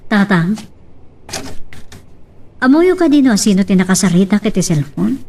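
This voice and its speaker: A woman's voice: mid-range voice, big pitch swings, moderate pace, a little mellow, distant mic, slight background noise. A woman with an Ilocano Filipino accent playing a questioning, suspicious daughter in an audio drama. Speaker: actor in an Ilocano radio-style drama. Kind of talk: amateur audio drama acting.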